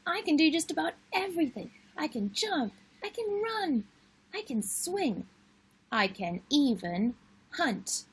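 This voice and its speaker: A woman voicing a cocky young boy hunter who brags loudly and emphatically in a children's storybook. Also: high voice, big pitch swings, slow, voice pushed up, full character voice. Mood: cocky, boastful. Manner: emphatic bragging.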